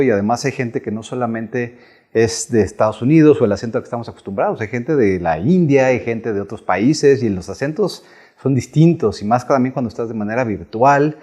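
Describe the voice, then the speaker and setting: A man's voice: mid-range voice, fairly quick, a little mellow. A man hosting an interview, asking long conversational questions. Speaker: podcast host.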